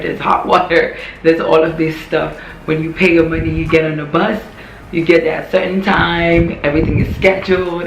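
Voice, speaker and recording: A young woman talks to her vlog audience, her voice hoarse and frayed. She is candid and chatty and doubles back on phrases to drive a point home. The sound bounces around an echoey room, with a faint hum of noise underneath. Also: low voice. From Ghana. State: hoarse, voice worn from yelling. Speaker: vlogger. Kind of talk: casual talking-to-camera vlog.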